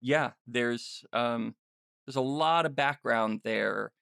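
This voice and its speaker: A man hosting a podcast in a casual, chatty style, speaking in a halting way with frequent restarts. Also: medium pitch, gravelly, fairly bright tone, clean tone, no echo, studio quality. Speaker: podcast host and interviewer. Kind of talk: casual interview chat.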